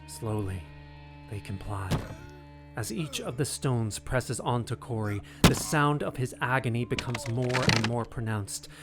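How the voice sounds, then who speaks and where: A man narrates a scripted drama in a mid-pitched voice. His phrasing is grave, deliberate and suspenseful. Prominent music plays behind him. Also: expressive.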